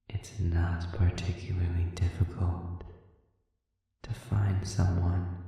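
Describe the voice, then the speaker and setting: A performer voicing a sadistic male demon prisoner in an audio roleplay, speaking formally and sardonically with a taunting, manipulative silkiness that often sinks toward a breathy whisper. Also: low-pitched, warm tone, full character voice. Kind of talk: slow audio roleplay monologue addressed to listener. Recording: cavernous echoing reverb, distant and muffled.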